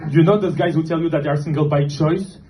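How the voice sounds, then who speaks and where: A young man from Morocco, doing a self-deprecating stand-up set in a comedy club, deadpan and halting with fillers. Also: a little high. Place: comedy club with live audience. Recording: noisy club recording. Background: audience laughter.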